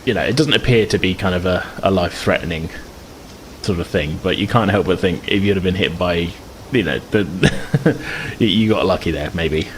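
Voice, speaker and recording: A man's voice: low voice, normal speed, slight background noise, poor audio. A man improvising casual narration as game master, explaining things in a rambling, hedging way.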